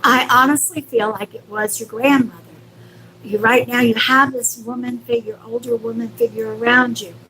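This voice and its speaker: A woman's voice: fairly high pitch, steady tone, moderate pace, pushed and bright, slight background noise, poor audio. A woman hosting a live stream as a psychic medium, speaking casually and affectionately to viewers with reassurance and endearments.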